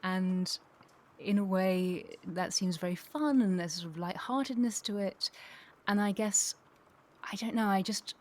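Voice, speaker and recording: A woman's voice: medium pitch, a little slow, a little mellow. A woman speaking as an interview guest, a voice actor talking thoughtfully and reflectively, feeling out her phrasing as she goes. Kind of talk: thoughtful panel discussion.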